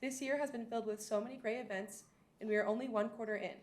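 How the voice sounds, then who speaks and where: A teenage girl reads her student council report with rehearsed enthusiasm. Her voice sits a little high, and her delivery is steady and well prepared. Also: fast talker. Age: teens. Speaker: student body president. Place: school district boardroom.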